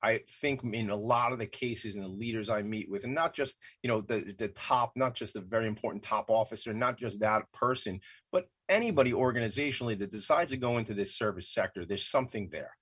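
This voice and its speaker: A middle-aged man hosting a radio interview show, talking quickly in a rambling, self-interrupting way and repeating words. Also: fairly low pitch, fairly bright tone, breathy edge. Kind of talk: casual interview chat.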